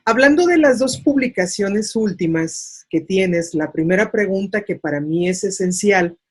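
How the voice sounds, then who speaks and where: A woman hosting an interview, putting her questions in a formal, deliberate way. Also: mid-range voice, lively intonation, slightly rough. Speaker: interviewer, host.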